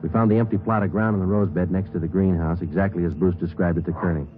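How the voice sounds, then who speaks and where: A man acting a terse radio-drama detective with a very low voice. He reports his case in a deadpan, clipped way. It sounds like a muffled vintage broadcast with music. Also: fast, lightly acted. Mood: flat, businesslike. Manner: clipped, matter-of-fact, deadpan. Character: terse, dry, unemotional male police detective narrating his case. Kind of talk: 1940s radio drama narration.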